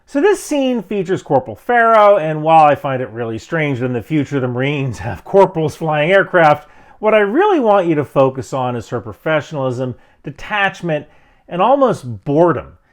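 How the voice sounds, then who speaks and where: A man's voice: fairly high pitch, sing-song, normal speed. A middle-aged American man giving emphatic, instructive commentary as a video host. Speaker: video host, military veteran. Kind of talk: explanatory commentary to camera.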